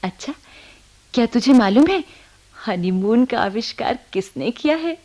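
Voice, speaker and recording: A woman's voice: a little high, expressive, unhurried, warm tone. A young woman acting in a Hindi radio play as a happy, coy newlywed, reading out a confiding letter in a dreamy, giggly way. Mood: fond. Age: young adult.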